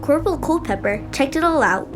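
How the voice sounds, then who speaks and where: A curious, eager kid in an audio adventure. The voice is high and inquisitive, made for radio-play dialogue. Music sits faintly behind it. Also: female voice, animated, brisk. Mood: excited. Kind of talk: audio drama acting.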